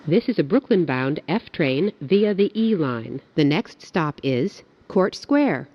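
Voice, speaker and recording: A woman giving recorded subway announcements in a formal, clearly phrased way. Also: deep voice, big pitch swings, slow pace, dark tone, dry recording. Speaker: transit announcer. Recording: dull, lo-fi announcement audio.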